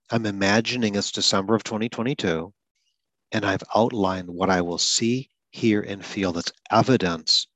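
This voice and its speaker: A man who hosts a podcast for people who stutter, calmly talking through a goal-setting exercise with some small repetitions. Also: on the low side, no echo, poor audio. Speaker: podcast host and stuttering advocate. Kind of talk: solo podcast explanation.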